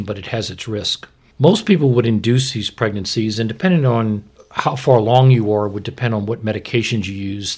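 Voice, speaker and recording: Husky low-voiced man explaining medicine. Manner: informal, plain-spoken, instructive. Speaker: obstetrician, expert guest.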